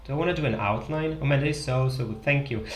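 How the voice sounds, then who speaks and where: A man's voice: mid-range voice, fast, a little hiss or hum. A young adult man from Italy with an Italian accent, casually chatting to viewers on a live stream while he draws, thinking aloud with many fillers. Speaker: livestream host. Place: small room indoors. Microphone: headset. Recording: echoey room with faint music.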